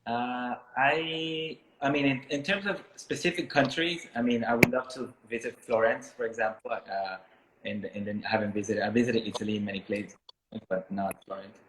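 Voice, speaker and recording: A man speaks in an echoey room with a mid-range voice. He talks casually, as an artist being interviewed. His manner is thoughtful and halting, often searching for the next word. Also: medium pitch, steady tone, fairly bright tone, a little hiss or hum. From Miami, United States, born in Ecuador. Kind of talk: casual reflective interview talk.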